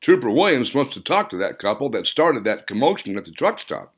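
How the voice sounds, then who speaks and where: A man's voice: mid-range voice, very animated, a little fast, close to an everyday voice. Man voicing folksy, gossipy small-town store owner. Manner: folksy, chatty rural talk. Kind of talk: audiobook character dialogue.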